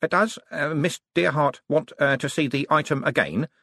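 A man's voice: on the high side, normal speed, fairly bright tone, dry recording, clean studio sound, voice pushed up. A man voicing a friendly, flustered young wizard scholar in an audiobook, modest but bookish, hesitating and stammering with many ums. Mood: hesitant.

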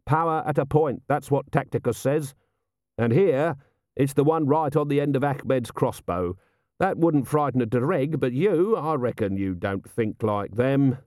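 A blunt, sardonic commander of the watch, as a male narrator plays him, dry and defiant, snarling when pressed. His pitch swings freely in a closely recorded storybook performance. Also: animated, unhurried, darkish tone, no echo, close to an everyday voice. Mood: menacing.

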